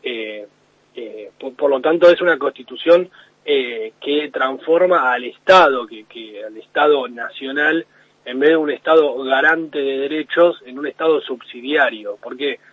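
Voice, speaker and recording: Guy on a phone call into radio, husky voice, breaks it down step by step, tinny dry line. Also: medium pitch, a little slow, dry recording. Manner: explanatory, steady, with frequent restarts. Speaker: radio correspondent. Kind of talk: radio news analysis.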